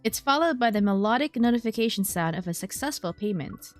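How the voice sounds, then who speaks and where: A woman's voice: a little high, lively intonation, a little fast, soft background music. A woman streaming a story game, reading its text aloud expressively with casual, wry asides. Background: game soundtrack.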